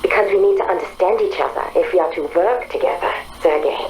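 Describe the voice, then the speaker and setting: Casting: woman as searching, sincere machine intelligence, calm and deliberate, slightly low voice, faint noise behind, game drama. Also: close to an everyday voice, poor audio. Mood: earnest, pleading. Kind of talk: dramatic game dialogue acting.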